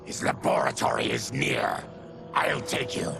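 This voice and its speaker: An actor playing a proud, embittered disfigured mutant leader with a gravelly growl in a video game cutscene. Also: male voice, medium pitch, measured pace, music in the background. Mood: grave, guarded.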